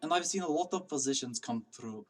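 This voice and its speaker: A woman's voice: sits low, expressive, fairly quick, a little mellow. A woman playing a chatty, needling but awkwardly apologetic medical colleague in a science fiction radio drama. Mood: flustered, placating. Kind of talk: sci-fi radio drama acting. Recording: distant voice with music behind.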